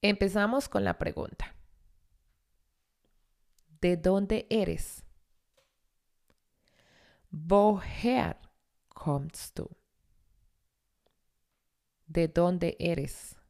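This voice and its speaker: A young woman teaches German in a podcast lesson, repeating each phrase slowly for learners. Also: on the low side, vocal fry, a little mellow. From Colombia.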